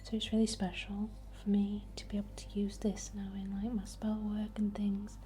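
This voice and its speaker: A woman whispering breathily and gently as an ASMR host, chatting and guiding a healing ritual in an unhurried way. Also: medium pitch, completely flat delivery, slight background noise.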